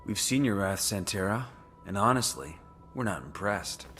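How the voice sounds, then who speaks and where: Deep-voiced deadpan soldier. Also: male voice, normal speed, soft background music. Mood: unimpressed. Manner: deadpan, unimpressed. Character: gruff, dry-witted special forces soldier. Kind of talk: dramatic video game cutscene acting.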